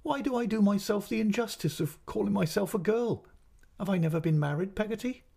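A man's voice: on the high side, a little fast, dark tone, pitched above the performer's own voice, lightly acted. A man voicing a petulant, self-pitying young mother in a storybook reading, with plaintive, dramatic protests. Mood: tearful indignation.

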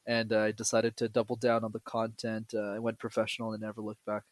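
A young man from Canada, a guest on a podcast, explaining public speaking in a lively, joking way. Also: fairly low pitch, brisk, no room sound. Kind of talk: casual podcast interview.

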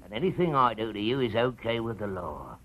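An actor playing a menacing, short-tempered crook with a rough voice in a 1940s radio crime drama. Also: male voice, fairly low pitch, very animated, brisk, muffled recording, a little hiss or hum. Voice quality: rough, gravelly.

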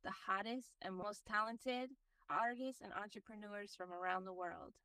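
A woman co-host speaks in an upbeat, affirming way with a smooth, resonant tone at a middle pitch. The sound is dry and picked up from some distance. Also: steady tone, normal speed, pushed and bright, no echo. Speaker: podcast co-host. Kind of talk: casual chat.

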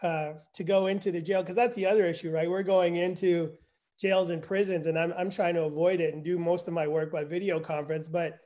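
Fifties guy w a really high voice, chatty n self-deprecating, on a video call. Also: fairly even intonation, a little fast, warm tone. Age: 50s. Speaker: guest, forensic evaluator. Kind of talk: casual conversation.

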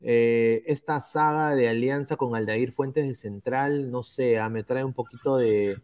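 A man's voice: mid-range voice, a little slow, darkish tone. A Peruvian man hosting a football podcast, chatting casually with lots of fillers and restarts. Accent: Peruvian Spanish. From Peru. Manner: chatty, many fillers and restarts, reads sponsor plugs. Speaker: podcast host.

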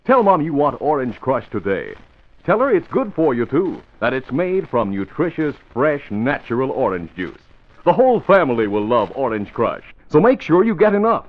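A man's voice: mid-range voice, big pitch swings, normal speed. A man reading scripted lines as an old-time radio announcer and narrator. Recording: muffled, faintly noisy vintage radio recording.

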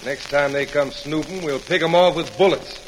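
An actor playing a brash, menacing outlaw gang leader in an old-time American radio Western, with gruff cowboy talk. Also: male voice, on the high side, moderate pace, lightly acted. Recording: noisy, crackling old radio recording.

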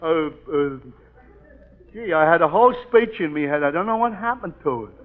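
An actor playing a slow-witted, forgetful tavern regular in a 1940s radio sitcom, stumbling over his words with many uhs. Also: a little high, expressive, close to an everyday voice. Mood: fumbling. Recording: hissy lo-fi old radio broadcast.

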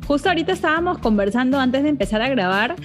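A woman's voice: high voice, lively intonation, fast, soft background music. A woman in her thirties from Peru, hosting a chatty, gossipy podcast conversation. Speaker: podcast host. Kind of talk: casual chat.

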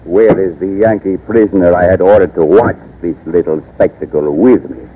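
A haughty despot played by a man from a 1940s radio drama, with a low, weighty voice. He is imperious and gloating, heard through a muffled, noisy old recording. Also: close to an everyday voice. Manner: pompous, imperious, deliberate. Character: haughty, gloating male ruler of a pirate state.

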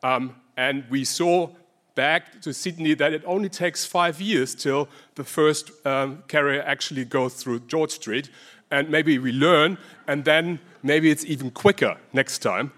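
German-accented male researcher, fairly high-pitched, hesitant conference presenter. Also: a little slow, fairly bright tone. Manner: hesitant, many ums and restarts. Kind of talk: conference talk.

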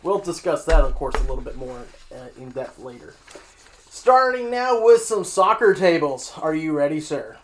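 A man's voice: a little high, very animated, moderate pace, slight background noise. Man joking through sports standings. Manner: joking, self-mocking, reading scores. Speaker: sports show host. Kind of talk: casual standings rundown.

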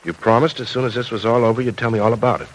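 An actor playing a breezy, insistent, bantering man in an old-time radio drama, quick with a wisecrack. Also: mid-range voice, fairly even intonation, lightly acted. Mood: impatient, bantering. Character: breezy, impatient bantering man who dodges housework. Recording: noisy vintage radio broadcast recording.

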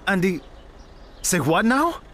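A man's voice: high voice, big pitch swings, takes it slowly, a bit bright, exaggerated voice. An actor playing a blunt, exasperated male shop owner and wry storyteller in an audio drama. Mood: accusing. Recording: clear background noise.

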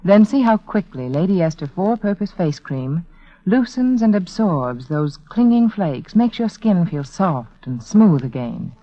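A woman's voice: on the low side, sing-song, unhurried, warm tone. A woman spokeswoman reading a persuasive, carefully enunciated 1940s radio commercial. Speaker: advertising spokeswoman, beauty authority. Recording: muffled vintage 1940s radio recording.

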